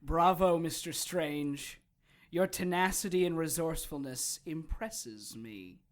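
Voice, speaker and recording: A woman playing a sardonic, taunting supernatural villain in an audio drama, with a theatrical, drawn-out, mocking delivery. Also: low-pitched. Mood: mock admiration.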